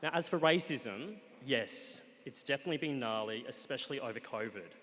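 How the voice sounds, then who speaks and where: A man with an Australian accent telling a comic personal story on stage, wry and playful, with deadpan punchlines. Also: mid-range voice, brisk. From Australia, Sunshine Coast, Queensland. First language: Cantonese. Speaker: guest storyteller. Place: stage in a live event hall with an audience. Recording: reverberant live hall.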